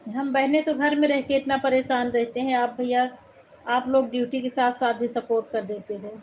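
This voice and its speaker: A woman's voice: high-pitched, steady tone, normal speed, slight background noise, low-quality recording. A woman from Uttar Pradesh with an Awadhi-tinged Hindi accent, hosting a live stream, chatting casually and thanking viewers one by one. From Uttar Pradesh, India. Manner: warm thanking, calls viewers brother. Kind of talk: casual live chat reading viewer comments.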